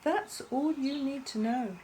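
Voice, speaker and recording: A woman voicing a loyal young male bunny in a children's storybook, earnest, reassuring and gently chiding. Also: high-pitched, a little slow, a little hiss or hum, pitched above the performer's own voice. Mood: patient, chiding. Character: loyal, patient young bunny, son of a rabbit. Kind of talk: children's storybook character reading.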